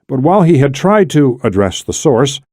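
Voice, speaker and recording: A man's voice: mid-range voice, very animated, moderate pace, a little mellow, no echo. Man narrating a thriller audiobook, dry sound. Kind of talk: scripted thriller novel narration.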